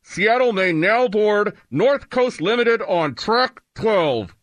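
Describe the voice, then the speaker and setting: An actor playing a 1950s train station announcer in an old-time radio drama, calling boarding in drawn-out, formal phrases. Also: male voice, sits high, animated, fairly bright tone, no room sound, heavily acted. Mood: loud, formal. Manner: drawn-out boarding-call delivery.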